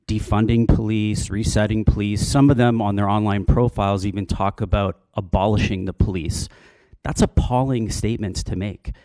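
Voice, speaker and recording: Low-voiced emphatic middle-aged man. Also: dark tone, no echo. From Canada. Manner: assertive, emphatic, argues with statistics. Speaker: public deputant at a police board meeting. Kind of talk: prepared public comment. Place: public meeting hall.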